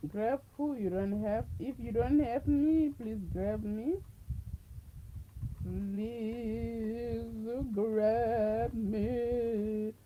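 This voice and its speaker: A woman with a deep voice hosting a livestream, making slow, repetitive, coaxing appeals to her viewers. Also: fairly even intonation, lo-fi sound, a little hiss or hum. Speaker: livestream host. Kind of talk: casual livestream chat to viewers.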